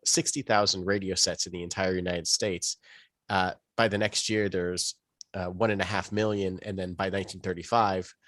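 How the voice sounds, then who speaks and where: Low-voiced man, rambling podcast talk. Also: fairly bright tone, no echo. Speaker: podcast co-host. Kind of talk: casual podcast discussion.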